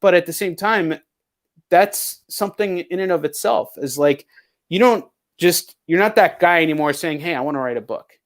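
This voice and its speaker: Higher-voiced man, blunt casual podcast host. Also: normal speed. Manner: rambling, heavily profane, blunt. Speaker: show host. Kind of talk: casual video chat interview.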